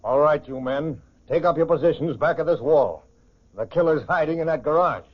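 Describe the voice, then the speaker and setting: An actor playing a commanding, urgent police captain barking orders in a 1940s radio crime drama. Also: male voice, a little high, moderate pace, natural voice. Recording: muffled vintage radio recording.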